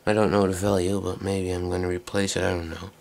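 A man giving a casual, unscripted hands-on demonstration in a low, creaky voice, recorded on a handheld camera microphone. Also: level tone, quick pace, darkish tone, a little hiss or hum. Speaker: hobbyist video maker. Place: small indoor workroom.

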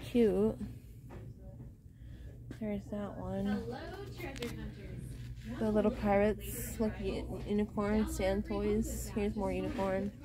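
A woman strolls through a big home goods store, chatting at an unhurried pace about what she finds and reading each price aloud. Her voice sits at an ordinary middle pitch. Music plays clearly underneath the recording. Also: poor audio. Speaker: shopping vlogger. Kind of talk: casual shop-with-me narration.

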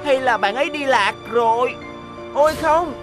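A woman's voice: sits high, moderate pace, natural voice. A woman voicing a cheerful little blue boy bus in a cartoon dub, chatty, eager to impress and given to nervous laughs. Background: music.

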